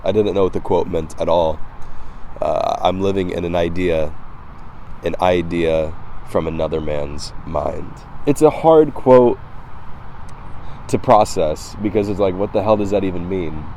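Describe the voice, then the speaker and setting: A slightly hoarse man vlogging outdoors, talking in a casual, reflective, halting philosophical monologue with repeated words. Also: deep voice, mellow, slight background noise, rough recording. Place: outdoors by the water.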